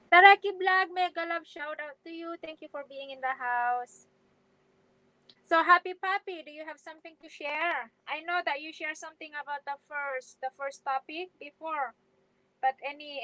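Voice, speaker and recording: A woman's voice: high voice, sharp tone, dry recording, rough recording. A middle-aged woman hosting a casual live stream from home, chatting with viewers and reading their comments, hesitant, with many fillers and restarts.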